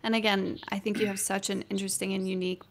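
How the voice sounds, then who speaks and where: A woman host chats casually with her guest, speaking at a middle pitch. Her voice has a gravelly, fry-laden edge as she offers measured, affirming comments. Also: little pitch movement. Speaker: podcast host. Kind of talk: casual interview chat.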